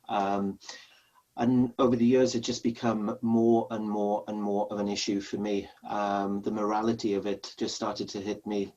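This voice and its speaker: A man's voice: fairly low pitch, darkish tone, distant mic, dull audio. A Welsh man in his forties, speaking hesitantly with many ums as a panelist on a webinar. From Wales. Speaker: panelist, charity founder.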